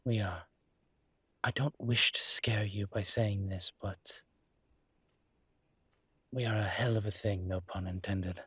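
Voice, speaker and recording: A man playing a suave, flirtatious demon professor in an intimate audio roleplay, teasing and indulgent, with a husky, breathy voice and languid, theatrically polite phrasing. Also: on the low side, steady tone, fairly bright tone, dry recording, heavily acted. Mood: sly, instructive. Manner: languid, seductive, theatrically polite with drawn-out words.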